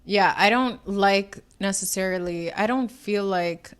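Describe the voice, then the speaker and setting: A woman's voice: medium pitch, measured pace, clear, resonant tone. A young woman, the younger sister co-hosting a casual chat, blunt and teasing, with casual swearing. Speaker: podcast co-host.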